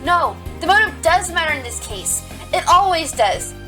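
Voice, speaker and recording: Girl doing a determined bunny cop in court, high sharp voice, assertive, bit of music behind. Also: very animated, exaggerated voice. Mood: insistent. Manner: assertive, deliberate, argumentative. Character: determined young female rabbit police officer arguing in court. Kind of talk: fan audio drama acting.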